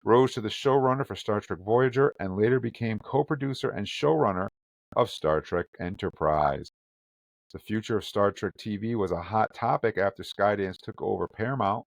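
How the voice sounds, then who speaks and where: Guy hosting a fan podcast, lowish voice, dry close sound, chatty, reads news out loud. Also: normal speed, vocal fry, dry recording. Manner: chatty, reads articles aloud with quotes. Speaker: podcast host. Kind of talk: casual chat and reading news.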